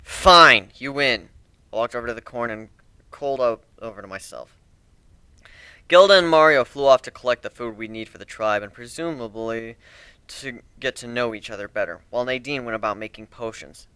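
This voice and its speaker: A man's voice: mid-range voice, fairly quick, a bit bright, clean tone, no room sound. A man narrating a first-person fantasy story as a dramatic reading.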